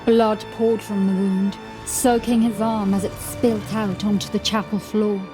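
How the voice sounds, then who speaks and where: A woman reading scripted horror fiction as narrator, in a dramatic, measured storytelling manner. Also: mid-range voice, flat delivery. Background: music.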